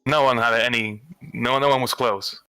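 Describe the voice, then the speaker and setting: Guy in a voice chat, bright voice, dry close sound, jokey and friendly. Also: mid-range voice, steady tone, normal speed, clear, resonant tone, no room sound, poor audio. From Poland. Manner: friendly, laughs easily, self-deprecating. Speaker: chat participant. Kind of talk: casual group voice chat.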